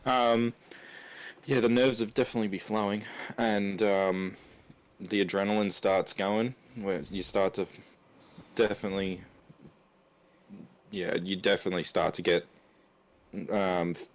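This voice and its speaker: Croaky low-voiced man commentating. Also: little pitch movement. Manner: halting, many ums and restarts. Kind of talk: sim racing colour commentary.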